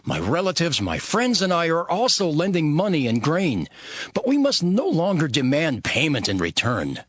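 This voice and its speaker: A man's voice: a little high, huge pitch swings, normal speed, a bit bright, no room sound, heavily acted. A man playing a resolute, devout leader narrating dramatized scripture in an earnest, declamatory way. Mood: stern, indignant. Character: Nehemiah, resolute devout male leader rallying his people.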